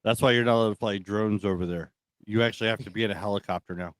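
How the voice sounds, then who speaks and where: A man's voice: on the low side, steady tone, very fast, no echo. A man co-hosting a talk show, chatting casually and giving opinions in a blunt, profane way. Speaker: talk radio co-host.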